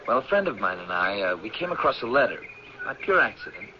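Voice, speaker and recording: A man's voice: fairly low pitch, sing-song, quick pace. A man playing a polite, curious young college professor in a radio drama, courteous and a little hesitant. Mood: friendly, hesitant. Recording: muffled, noisy old radio broadcast.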